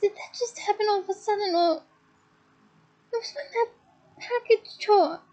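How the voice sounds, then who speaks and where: A woman's voice: very high voice, fairly even intonation, quick pace, darkish tone, pitched above the performer's own voice. A woman reading aloud, voicing a frightened teenage schoolgirl who is crying and tripping over her words. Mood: tearful panic. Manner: panicked, breathless, stumbling over words.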